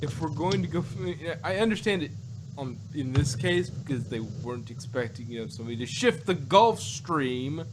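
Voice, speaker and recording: A man's voice: fairly high pitch, expressive, normal speed. A man co-hosting a review show, chatting casually in a wry, musing and hesitant way. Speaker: podcast co-host. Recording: clear background noise.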